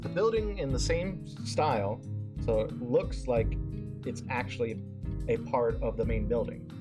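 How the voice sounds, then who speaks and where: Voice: medium pitch, a little slow, music in the background. A streamer reading a visual novel aloud live, often stumbling and repeating phrases.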